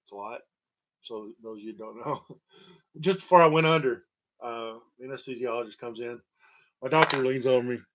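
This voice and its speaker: A man's voice: medium pitch, fairly bright tone. Rambling wry man, muffled lo-fi sound. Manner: halting, digressive, wry, chuckling at grim details. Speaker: storyteller and host. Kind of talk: rambling personal monologue about own medical history.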